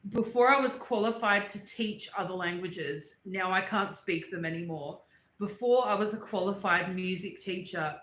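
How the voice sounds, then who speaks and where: A woman's voice: mid-range voice, fairly bright tone, a little hiss or hum. A woman hosting a casual livestream, rambling through personal stories and answering viewers' comments, with English as her second language. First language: not English. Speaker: livestream host reading chat. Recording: echoey room.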